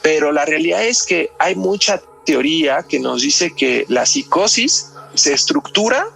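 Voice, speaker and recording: Gravelly-voiced Mexican man explaining. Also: a little high, expressive, a little slow, fairly bright tone, faint music behind. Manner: constantly checks with tag questions. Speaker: psychologist guest. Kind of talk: conversational explanation in a podcast interview.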